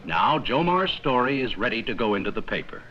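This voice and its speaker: A man reading scripted 1950s educational film narration in a measured, explanatory manner with simple, plain sentences. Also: medium pitch, big pitch swings, sharp tone, highs cut off. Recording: worn, noisy 1950s 16mm film soundtrack.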